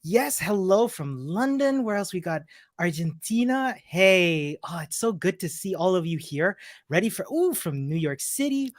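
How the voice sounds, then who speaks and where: An upbeat, chatty woman from Chile leads a live online coding class. She greets viewers warmly and explains each step clearly while sharing her screen, talking into a computer mic. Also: on the low side, big pitch swings, normal speed. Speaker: coding instructor. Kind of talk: live-streamed coding lesson.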